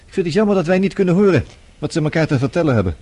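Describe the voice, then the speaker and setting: An actor playing a wry, hesitant television interviewer in a radio drama, evasive but polite, with a husky voice. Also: male voice, fairly high pitch, animated, racing, mellow.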